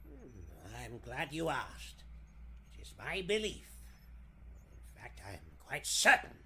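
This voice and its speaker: A man voicing an elderly, scholarly astronomy professor in a 1940s radio drama, deliberate and secretive, speaking in halting, pondering phrases. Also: medium pitch, wildly swooping pitch, sharp tone, slight background noise, voice pushed down, heavily acted.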